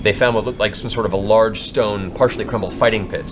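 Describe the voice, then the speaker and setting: A man's voice: on the low side, steady tone, fast talker, background noise. A man narrating a tabletop fantasy game as game master, with a dramatic, descriptive storytelling manner.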